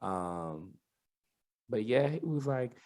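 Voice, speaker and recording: A man's voice: mid-range voice, expressive, slow, darkish tone. A man from Tennessee with a Southern US accent and a lisp, talking candidly as a guest in a podcast interview. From Tennessee, United States. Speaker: guest, R&B soul singer. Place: studio.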